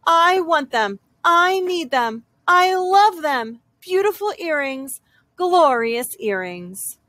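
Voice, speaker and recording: An impatient young storybook girl, acted out by a woman, pleads in a high voice. She is melodramatic and insistent, dragging her words out slowly. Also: sing-song, fairly bright tone, no echo, heavily acted. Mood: yearning.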